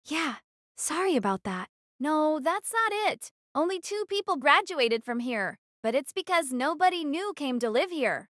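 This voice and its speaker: A woman voicing young female students in an audio drama, delivering lively, expressive lines. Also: high voice, unhurried, dry recording, clean studio sound, exaggerated voice. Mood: explaining.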